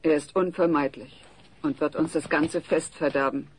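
A woman playing a worrying, exasperated suburban mother in a comedy audio play, with a husky voice. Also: sits low, steady tone, normal speed, poor audio.

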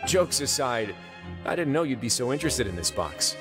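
An actor playing a composed, wry young duke in a video game, measured and dryly courteous. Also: male voice, mid-range voice, very animated, lightly acted. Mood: wry, obliging. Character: composed, wry young duke ruling an underwater prison fortress. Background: music.

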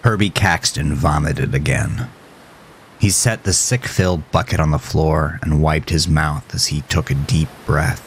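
A man's voice: rumbling low, a little slow. A man reading scripted, dramatic horror narration as a storyteller.

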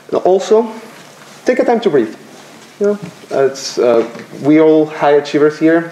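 A young man from Venezuela gives a graduation speech at a podium, halting and self-deprecating, with filler pauses. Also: mid-range voice, mellow, a little hiss or hum. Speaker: commencement student speaker. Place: lecture room with podium. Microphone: podium microphone. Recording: roomy lecture hall echo.

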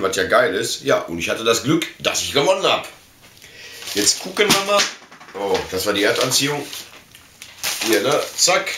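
A man's voice: lowish voice, pushed and bright. A middle-aged man from Germany chatting casually and slangily as he hosts an unboxing video, rambling informally, picked up by a camera mic in a small room. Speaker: video host. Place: small indoor room full of collectibles. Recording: roomy echo, slight background noise.

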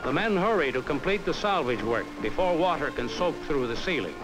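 An American man reading a scripted 1950s training film narration in a measured, instructive, carefully enunciated way, on a vintage film soundtrack. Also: fairly high pitch, animated, low-quality recording. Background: background music.

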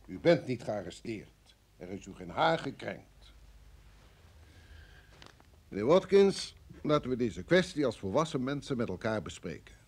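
A man's voice: medium pitch, sing-song. A male security service official in a radio drama. He speaks in a formal, insistent way, coolly coercive. His mid-range voice moves widely up and down for emphasis. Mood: firm, menacing. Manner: formal, smoothly insistent, measured. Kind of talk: radio play acting.

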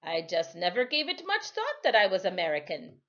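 Storybook sailor played by a woman: man in his sixties, easygoing, folksy, very varied pitch. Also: wildly swooping pitch, fairly bright tone, highs cut off, full character voice. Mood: amused, easygoing. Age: 60s. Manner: folksy, unhurried dialect speech. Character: old West Indian sailor, over sixty, easygoing, chuckling. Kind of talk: storybook character voice.

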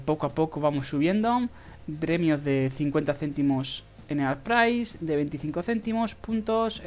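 A speaker with a Spain Spanish accent giving a casual screen-recorded tutorial, in a halting, hesitant way with drawn-out pauses. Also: mid-range voice, darkish tone. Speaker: video tutorial host. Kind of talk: casual screen-recorded tutorial about earning money online. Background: background music.

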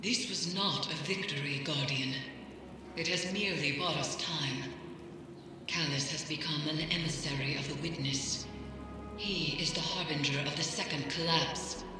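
A woman's voice: low voice, moderate pace, over music, close to an everyday voice. Woman playing a grim mystic in cavernous echo. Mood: grim, foreboding. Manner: solemn, ominous. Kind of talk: scripted game dialogue.

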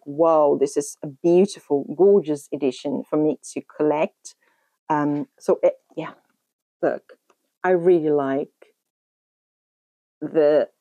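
A woman in her thirties from Brazil, Portuguese as her first language, talks casually into a camera at home. Her voice sits low and sounds mellow. The sound is dry, with no room echo. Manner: chatty, thinking aloud with many ums and restarts. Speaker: book channel host. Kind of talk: casual book haul vlog. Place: room at home in front of a bookshelf.

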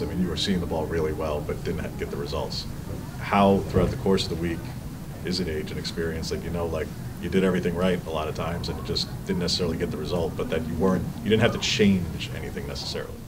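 A male sports reporter asking long, rambling questions at a press conference. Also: sits low. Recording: distant voice with room noise.

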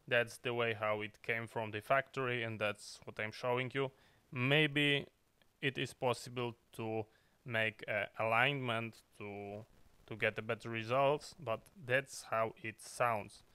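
A man's voice: medium pitch, steady tone, a little slow, bright, forceful tone, clean tone, no room sound. A man who is not a native English speaker, explaining in an unscripted, choppy way as a video host. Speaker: video host, radio hobbyist.

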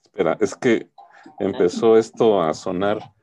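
A man's voice: on the low side, unhurried, vocal fry, darkish tone. A middle-aged man hosting a casual interview over a video call, speaking into a headset mic. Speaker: interviewer, host. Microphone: wired earphone headset.

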